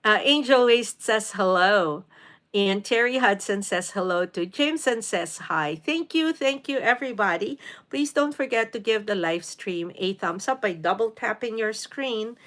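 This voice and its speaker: A woman's voice: mid-range voice, lively intonation, unhurried, fairly bright tone. A woman from the Philippines in her forties hosting a casual live stream, chatting with viewers, greeting them by name and using many fillers. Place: home in New York City.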